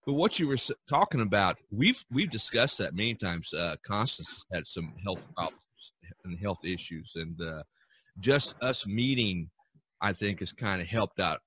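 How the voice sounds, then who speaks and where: A man's voice: lowish voice, lively intonation, normal speed, a bit bright, slightly rough. A man hosting a podcast, chatting casually over a video call. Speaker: podcast host. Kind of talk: casual chat.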